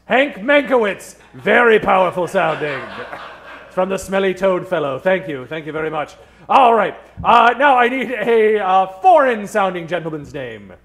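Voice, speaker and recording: Male improv MC, theatrical forties-radio showman, high voice with big swoops, working a live theater stage. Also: very animated, moderate pace, creaky, a bit bright. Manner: theatrical, mock-formal showman. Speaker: stage MC warming up audience. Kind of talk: improv comedy hosting in 1940s radio manner.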